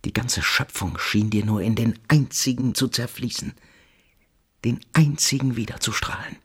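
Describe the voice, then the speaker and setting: An actor playing a scheming, flattering younger brother in a German radio play, insinuating and theatrical, often whispering. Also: male voice, medium pitch, normal speed, husky, close to an everyday voice. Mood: insinuating, hushed.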